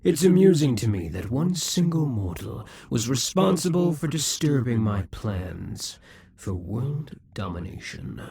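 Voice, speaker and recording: A man voicing a possessive, scheming male demon king in a scripted audio roleplay, speaking in slow, breathy half-whispers. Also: medium pitch, a little mellow, exaggerated voice.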